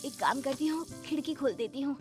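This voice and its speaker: A woman voicing an anxious, obedient young bride in an animated horror story, fretful and quick to exclaim. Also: high voice, over music, pitched above the performer's own voice, lightly acted. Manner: fretful, breathless startled exclamations.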